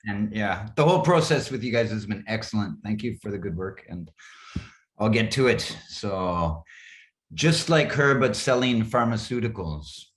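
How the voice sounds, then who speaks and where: Echoey room sound, man, lowish voice, expressive literary reader, dramatic phrasing. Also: moderate pace. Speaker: writer reading own piece. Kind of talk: literary reading of a first-person story. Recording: roomy, echoing sound.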